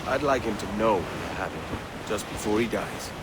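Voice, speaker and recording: An actor playing a grim, resolute pirate captain in a video game cutscene. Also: male voice, fairly low pitch, expressive, moderate pace, heavy background noise, natural voice. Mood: grave, plotting.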